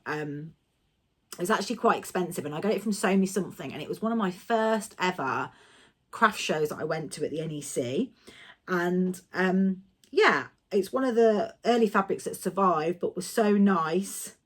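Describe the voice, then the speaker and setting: A woman chats to her camera in a rambling, friendly way, a little nasal and stuffy from a cold. Her voice sits fairly low but swoops up and down with lively intonation. She often corrects herself as she goes. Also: husky. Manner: chatty, rambling, self-correcting. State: has a cold, slightly nasal and coughing. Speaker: sewing vlogger. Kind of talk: casual vlog chat.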